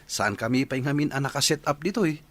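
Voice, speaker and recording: A man's voice: medium pitch, animated, fast, natural voice. A man playing a devoted, slightly shy young boyfriend in a radio drama, speaking politely and hesitantly with an Ilocano accent. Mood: friendly, planning.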